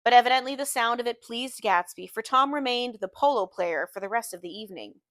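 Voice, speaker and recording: A woman's voice: a little high, animated, a little fast, fairly bright tone, dry recording. A woman reading a literary novel aloud in the manner of an audiobook narration.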